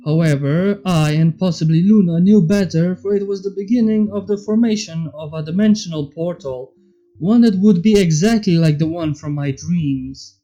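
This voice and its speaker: A man's voice: high voice, normal speed, dark tone, muffled recording. A man reading fan fiction aloud in a scripted narrating style. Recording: music under the voice. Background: background music.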